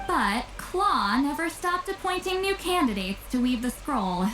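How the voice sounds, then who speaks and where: A woman's voice: high voice, big pitch swings, measured pace. A woman voicing an aloof, reclusive, youthful but centuries-old shaman in a video game, dry, reserved and wistful. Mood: resigned. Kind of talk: scripted video game voice acting. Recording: roomy echo, game music behind.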